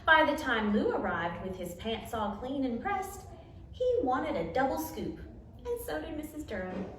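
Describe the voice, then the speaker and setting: A woman reading a picture book to children as a story time host, expressive, with dramatic emphasis and pauses. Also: high-pitched. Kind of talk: animated picture-book read-aloud for children. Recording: echoey room, distant mic, light noise.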